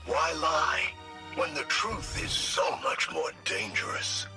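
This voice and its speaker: A man's voice: a little high, wildly swooping pitch, takes it slowly, full character voice. A man voicing a smug alien ghost henchman in a video game, sarcastic and taunting. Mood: condescending. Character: smug, sneering male alien ghost, henchman of a witch queen. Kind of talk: video game villain dialogue. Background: loud game music.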